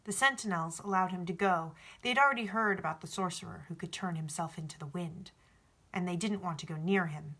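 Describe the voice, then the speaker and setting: A woman reads a storybook aloud. Her voice sits a bit low for a woman, with a bright, crisp edge. The delivery is informal, like a home read-aloud. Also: fairly quick. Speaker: audiobook reader. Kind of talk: storybook narration with informal asides.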